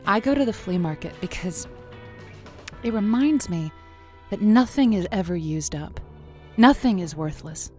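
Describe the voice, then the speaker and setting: A woman playing a chatty, flirty radio matchmaking host with a playful, theatrical delivery in an audio drama. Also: fairly low pitch. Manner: theatrical, playful, wordplay-loving.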